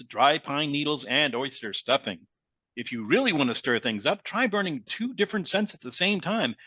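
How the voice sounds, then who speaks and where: A brash 1940s-style radio announcer, played by a man, selling hard to the fellows. His voice is forceful and on the high side, with big swings in pitch. The delivery is punchy and mock-earnest, in a dry, slightly muffled recording. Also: husky, dry recording, full character voice. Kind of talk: parody 1940s radio commercial read.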